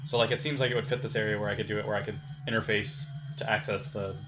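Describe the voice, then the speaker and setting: A man's voice: on the low side, flat delivery, fast talker. A man talking casually as a player in a tabletop role-playing game. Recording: noisy group recording with room ambience.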